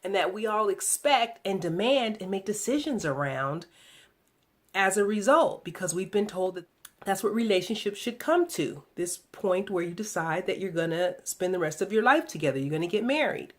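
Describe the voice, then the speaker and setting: A woman hosting a relationship video blog, speaking in a reflective, deliberate monologue with emphatic phrasing. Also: mid-range voice, expressive.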